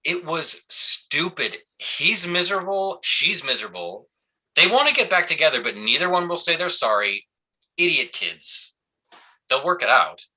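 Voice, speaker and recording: An actor playing a blunt, weary cop in a staged reading, talking in a halting, colloquial way. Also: male voice, a little high, big pitch swings, sharp tone, slightly rough, exaggerated voice, rough recording. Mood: exasperated. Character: blunt, gruff small-town male cop, weary and sardonic. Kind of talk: live staged play reading.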